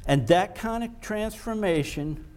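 A man preaching a sermon, slow and emphatic, repeating words for stress. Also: sits high, lively intonation, darkish tone.

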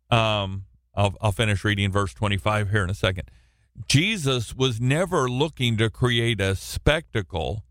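A man with a loud, carrying voice teaches a Bible passage from a radio studio. He speaks slowly, often doubling back on his words before going on. The sound is dry and close, with no room around it. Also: mid-range voice, dry recording. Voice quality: loud, projecting voice. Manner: halting, with frequent restarts and repeated words.

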